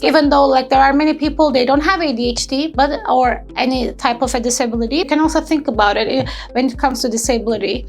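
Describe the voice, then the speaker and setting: Earnest young woman. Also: high-pitched. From Turkey. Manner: earnest, searching for words with many fillers and restarts. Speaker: intern and entrepreneur. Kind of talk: personal testimonial interview.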